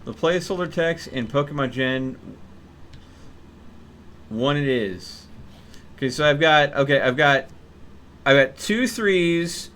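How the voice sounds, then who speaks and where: A man's voice: a little high, animated, slow, long pauses, fairly bright tone, a little hiss or hum. A man live-streaming a game, chatting casually with viewers and thinking aloud.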